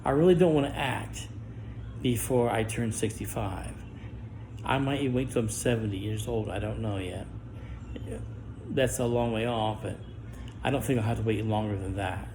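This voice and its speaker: A middle-aged man with a low, gravelly voice speaks a guarded monologue to camera. He talks haltingly, restarting words and pausing often. The recording is noisy, with constant background hiss. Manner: guarded and halting, with stammered restarts and long pauses. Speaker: vlogger.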